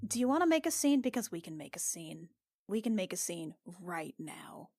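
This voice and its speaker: A woman's voice: fairly low pitch, very animated, normal speed, a little mellow, no room sound, pitched below the performer's own voice. Woman as a proud, defiant princess, dry close sound. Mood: challenging. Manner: curt, coolly challenging. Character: proud, defiant young princess standing her ground.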